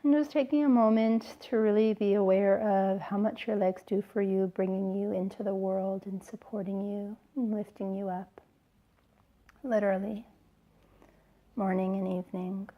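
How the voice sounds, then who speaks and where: A woman yoga teacher leading a guided relaxation in a soothing, gentle way, with unhurried phrases and pauses. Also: mid-range voice, fairly even intonation, dull audio. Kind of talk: slow guided relaxation instruction.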